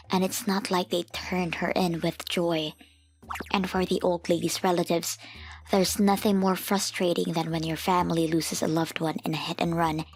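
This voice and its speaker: A woman's voice: on the low side, husky. A woman narrating a scripted first-person story as a grown woman soberly looking back on a family memory. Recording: music under the voice.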